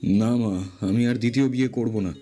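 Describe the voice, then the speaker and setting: A man's voice: medium pitch, steady tone, moderate pace, dark tone, natural voice. Meek, downcast short husband, acted by a man, cartoon fairy tale, mid-range, earnest, over music. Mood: earnest, resigned. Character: gentle, dejected short-statured husband and shopkeeper. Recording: clear music behind the voice.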